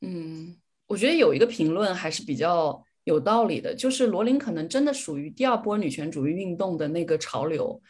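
A woman with a slightly low voice talks as a podcast co-host. She is earnest and reflective, working through her thoughts in casual conversation. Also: lively intonation, brisk, a little mellow, husky.

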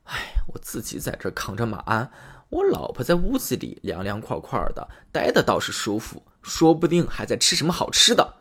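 A man's voice: medium pitch, huge pitch swings, normal speed, fairly bright tone, husky, exaggerated voice. A man voicing a greedy rich man who frets and wheedles in a storybook tale. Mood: grumbling. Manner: wheedling, fretful.

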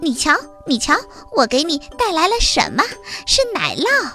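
A woman voicing a friendly, excitable city mouse in a children's story, hesitating and repeating words eagerly. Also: high-pitched, a little slow, faint music behind, exaggerated voice. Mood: delighted.

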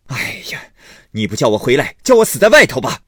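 A man's voice: low-pitched, big pitch swings, moderate pace, fairly bright tone, husky, no echo, studio quality, heavily acted. A man playing a hot-tempered, sneering husband who blusters, snorts and grumbles sarcastically in a storybook reading. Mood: furious.

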